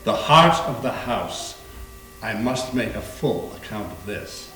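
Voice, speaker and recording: A man's voice: on the low side, expressive, slow, pushed and bright, a little hiss or hum, exaggerated voice. A man playing a grave, eager doctor who investigates hauntings, in an amateur readers theater performance. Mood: eager, intrigued. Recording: echoey room with music behind.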